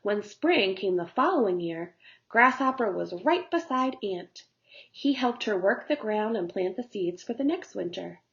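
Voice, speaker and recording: A woman narrating a story for young children as a teacher would, in simple, deliberate phrasing. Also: mid-range voice, expressive, vocal fry, fairly bright tone, lo-fi sound.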